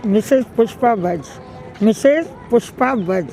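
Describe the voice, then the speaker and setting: A woman's voice: fairly high pitch, animated, slow pace, warm tone, soft background music, poor audio. A middle-aged woman answering street interview questions outdoors in a park, speaking fondly and emphatically and repeating herself. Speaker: longtime newspaper reader interviewee.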